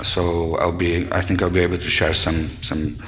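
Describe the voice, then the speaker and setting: A man's voice: low voice, little pitch movement, moderate pace, a bit bright, a little hiss or hum. A man with a Brazilian accent casually introducing himself as a panelist. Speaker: lawyer, panelist. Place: conference room. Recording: reverberant conference hall.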